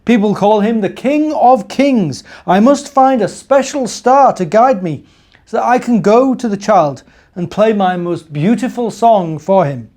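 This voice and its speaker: Storybook giant, played by a male reader: big, gruff, eager, loud and rumbling, softened tone. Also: lively intonation, measured pace. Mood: earnest. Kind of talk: children's storybook character reading.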